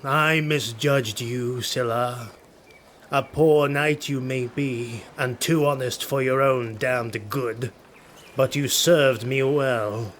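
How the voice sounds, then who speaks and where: Man acting as a sardonic dark elf lord. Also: mid-range voice, steady tone, slight background noise. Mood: musing. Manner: sardonic, slow muttering delivery. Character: proud, cruel, sardonic dark elf highborn raider lord.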